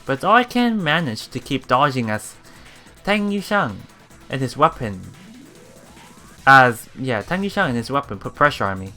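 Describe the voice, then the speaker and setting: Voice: mid-range voice, sing-song, normal speed, pushed and bright, faint music behind. A let's play streamer reading game text aloud with casual reactions, often stumbling over words and repeating them.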